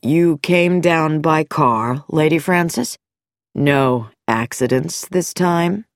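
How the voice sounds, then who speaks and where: A woman reading an audiobook voices a smooth, calm, quietly mocking middle-aged male doctor in a lowered voice. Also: slow pace, dry recording, studio quality.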